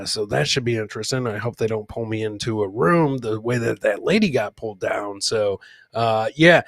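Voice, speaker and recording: A man's voice: medium pitch, a bit bright, no room sound. A man hosting a comedy show, chatting casually and ramblingly with joking asides as he comments on clips.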